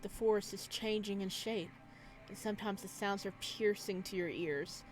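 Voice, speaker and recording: A woman's voice: mid-range voice, fairly even intonation, moderate pace, faint music behind. A woman hosting a gaming video, reading visual novel narration aloud. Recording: some background noise under voice.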